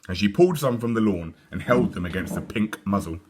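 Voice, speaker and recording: A man with a British accent narrating a children's story in a deliberate, expressive storytelling manner. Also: fairly low pitch, slight background noise. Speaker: story reader.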